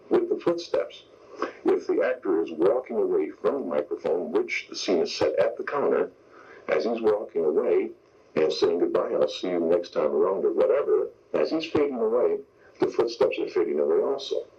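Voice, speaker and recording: Deep, husky older man reminiscing. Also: expressive, normal speed, dark tone. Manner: explanatory, step by step. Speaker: veteran radio sound effects man, interview guest. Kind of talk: interview reminiscence. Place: radio interview. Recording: dull lo-fi radio broadcast tape.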